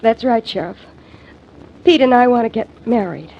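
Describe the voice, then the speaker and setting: A woman's voice: a little high, normal speed. An actress playing an anxious young female singer in a 1940s radio drama, speaking hesitantly with many stumbles. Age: young adult. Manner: hesitant, faltering speech. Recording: dull old radio recording.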